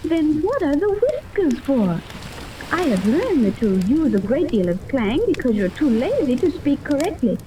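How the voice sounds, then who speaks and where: High-voiced, earnest young girl. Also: very animated, normal speed, warm tone, poor audio. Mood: puzzled, prim. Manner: formal, precise, literal-minded speech. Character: earnest, sheltered young girl raised among cold scientists. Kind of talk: 1930s radio serial acting. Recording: hissy old 1930s radio recording.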